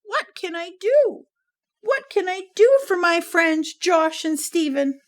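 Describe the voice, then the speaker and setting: Woman voicing a high, fretful little monkey boy puppet. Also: lively intonation, slow, full character voice. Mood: worried. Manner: exclaiming, fretting aloud. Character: sweet, anxious young male monkey puppet. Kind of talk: children's puppet show voice.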